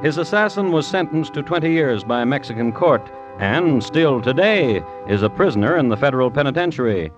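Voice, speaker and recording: An actor playing a wry, sardonic host and narrator of crime stories, deliberate and deadpan in wording, in 1950s radio style. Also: male voice, medium pitch, over music, lightly acted. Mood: wry, matter-of-fact. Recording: dull vintage radio recording.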